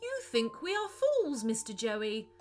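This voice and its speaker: A woman voicing a squeaky, scheming male rat thief in a storybook reading. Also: huge pitch swings, a little slow, soft background music. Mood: menacing. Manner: sly, turns menacing.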